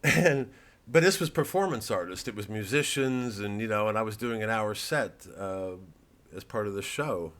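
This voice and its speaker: A comedian talking to camera in a rambling, profane and self-deprecating way, with many restarts. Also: male voice, fairly low pitch, normal speed, fairly bright tone.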